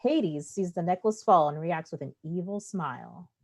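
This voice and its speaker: Female narrator, slightly low pitch, scripted stage directions, play reading. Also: expressive, normal speed, darkish tone.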